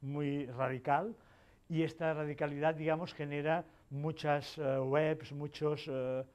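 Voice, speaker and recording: A man's voice: fairly high pitch, flat delivery, a little slow, a little mellow. A man from Spain giving a technical conference talk in a hall, hesitant, with many fillers and restarts. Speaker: conference speaker.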